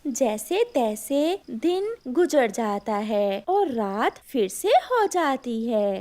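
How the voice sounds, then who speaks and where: A woman's voice: a little high, very animated, drawn-out words, a little mellow. A woman giving scripted storybook narration for a cartoon moral tale.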